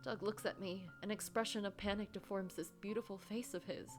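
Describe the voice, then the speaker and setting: A woman voicing an anxious, guilt-ridden office worker who narrates a story game in a dramatic, wounded, halting way. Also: mid-range voice, fairly even intonation, soft background music, close to an everyday voice. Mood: anxious self-blame.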